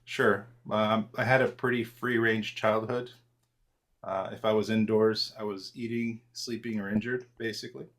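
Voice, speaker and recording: A man in his forties, born in Argentina, answering interview questions in a relaxed, self-deprecating way with many fillers and restarts. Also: on the low side, normal speed. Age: 40s. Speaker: trader, interview guest.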